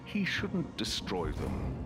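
Video game warlord: theatrical, self-satisfied, slow and grand, loud music underneath. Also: male voice, low voice, wildly swooping pitch, exaggerated voice. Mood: scornful, amused. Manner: pompous, theatrical, slow and deliberate. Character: grandiose conquering male warlord savoring his own might. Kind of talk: video game cutscene acting. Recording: loud orchestral game soundtrack behind.

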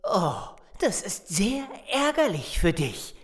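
An actor playing a sarcastic, confrontational, distrustful survivor in a German zombie audio drama. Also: female voice, medium pitch, big pitch swings, slow. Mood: scornful, angry. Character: Simon, distrustful hot-headed survivor of a zombie apocalypse.

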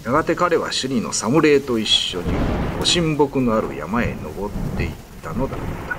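A man's voice: mid-range voice, big pitch swings, normal speed, noisy background. A man giving grave, solemn narration of a scripted ghost story as a dramatic storyteller.

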